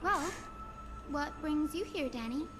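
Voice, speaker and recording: A woman voicing a gentle, hopeful young healer in a fantasy video game, speaking softly and sincerely. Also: high voice, lively intonation, takes it slowly. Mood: light, friendly. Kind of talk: scripted game voice acting. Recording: long reverb under loud game music.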